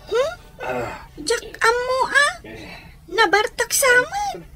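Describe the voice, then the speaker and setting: A woman's voice: very high voice, animated, slow pace, loud music behind the voice, full character voice. A woman playing a worried schoolgirl younger sister in a radio drama, whining and childlike. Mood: plaintive.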